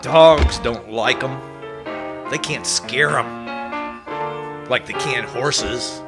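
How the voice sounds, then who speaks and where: A man's voice: medium pitch, extremely slow, loud music behind the voice. Folksy Western radio narrator, played by a man. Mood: wry, reminiscing. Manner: folksy, drawling cowboy-era phrasing. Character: folksy early-1900s Kansas City Western narrator. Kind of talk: old-time radio play narration.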